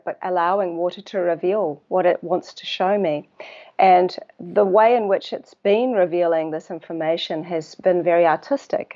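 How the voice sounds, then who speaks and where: A woman with a New Zealand accent, a water researcher speaking as a guest in a casual interview, thoughtful and unhurried, often pausing to search for words. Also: fairly low pitch, mellow, dull audio.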